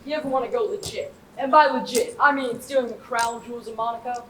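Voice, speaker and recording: A young woman acting a brisk, sly heist recruiter in a student stage comedy. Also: on the high side, fairly even intonation, natural voice. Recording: roomy stage from far off, faint noise.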